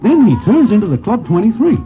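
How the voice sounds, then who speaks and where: A man playing a wry, slangy New character who narrates gangster tales in a deadpan, wisecracking 1940s radio style. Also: high voice, quick pace, over music. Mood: wry storytelling. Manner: deadpan, wisecracking, oddly formal slang in present tense. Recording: muffled vintage 1940s radio recording.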